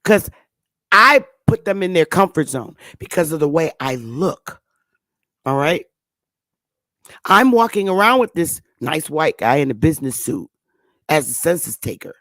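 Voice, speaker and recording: A woman's voice: low voice, big pitch swings, unhurried, long pauses, fairly bright tone, no echo. A woman hosting an online talk show, giving an emphatic, preachy casual lecture and drawing out her stressed words. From northern United States. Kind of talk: casual teaching monologue.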